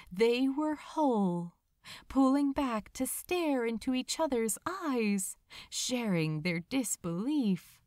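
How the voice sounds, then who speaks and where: A woman reading a scripted story aloud as narrator, dramatic and measured, lingering over her phrases. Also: on the high side, darkish tone, no room sound, studio quality. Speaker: audiobook narrator of fan fiction.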